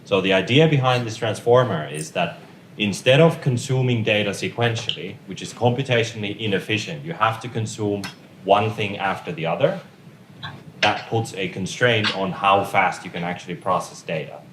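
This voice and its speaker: Low-voiced Finnish man lecturing in an echoey room. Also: normal speed. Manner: informal, joking with the audience. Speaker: conference tutorial presenter. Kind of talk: technical lecture. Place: conference room. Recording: echoey room with faint noise.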